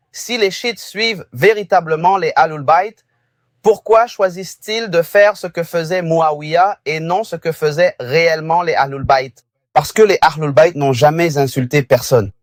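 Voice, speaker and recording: A man's voice: fairly high pitch, a little slow, no echo, clean studio sound. A man from Afghanistan calling in to a debate show, earnest and insistent on finishing his question. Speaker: caller. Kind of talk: phone-in question.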